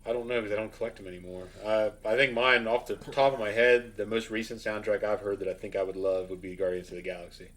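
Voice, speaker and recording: A man's voice: on the low side, fairly even intonation, fast talker, few pauses, a bit bright. A man, chatting casually as co-host of a reaction show. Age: 30s. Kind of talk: casual chat.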